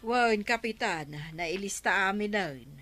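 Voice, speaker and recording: A woman's voice: mid-range voice, lively intonation, a little slow, sharp tone. Village councilwoman, mid-range voice, Ilocano accent, practical, informative, radio comedy drama. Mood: helpful, explaining. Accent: Ilocano Filipino.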